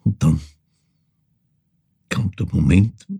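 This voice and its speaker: An aging son in a radio play, tender and haunted, telling of his mother. The voice is low and very husky, with a gravelly creak. He speaks intimately and haltingly, sometimes sinking toward a whisper. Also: dark tone, exaggerated voice. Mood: haunted, urgent. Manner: halting, emotive, drops into whispers. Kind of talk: intimate first-person radio drama monologue, storytelling.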